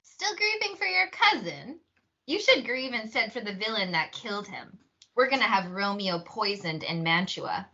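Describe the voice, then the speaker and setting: A woman's voice: mid-range voice, expressive, normal speed, sharp tone, dull audio. A young woman playing an insistent, dramatic noble mother in a stage-play reading.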